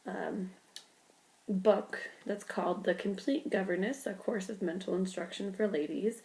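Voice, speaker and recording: A woman's voice: medium pitch, steady tone, a little slow, darkish tone, poor audio. A young woman with a cold and a stuffy voice, giving an informal class presentation full of ums and likes. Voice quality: gravelly creak. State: congested with a cold. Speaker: student presenter.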